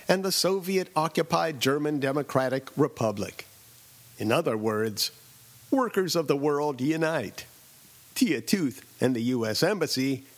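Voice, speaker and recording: A middle-aged man tells a scripted personal story in a wry, deadpan way, leaning on pauses for effect. His voice sits a bit high for a man and swings up and down freely as he narrates. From Southern California. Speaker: radio essayist and host.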